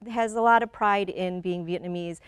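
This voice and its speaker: A woman's voice: lowish voice, moderate pace, clean tone, dry recording, clean studio sound. A woman in her forties who grew up in Michigan, a guest in a conversational interview, speaking thoughtfully, often repeating words as she gathers her thoughts and laughing easily. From Michigan, USA. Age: 40s.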